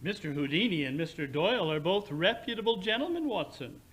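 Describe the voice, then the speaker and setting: A man playing a brisk, analytical, self-assured English gentleman detective in an old-time radio drama, with precise, formal, theatrical speech. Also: high voice, big pitch swings, husky, heavily acted. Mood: inquisitive.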